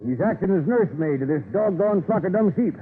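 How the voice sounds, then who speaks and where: An actor playing a grumbling, folksy sheep drover with a dry, rustic manner in a 1930s radio drama. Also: male voice, high voice, expressive, quick pace, slightly rough. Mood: grumbling, wry. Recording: muffled, tinny 1930s radio recording.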